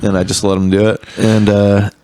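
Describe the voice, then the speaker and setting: A singer-songwriter man talks casually, with his thoughts wandering and trailing off. His voice sits fairly low. The sound is close and dry, with hardly any room in it. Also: little pitch movement, moderate pace, mellow, no echo. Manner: loose, rambling, trails off mid-thought. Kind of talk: casual chat. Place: home studio.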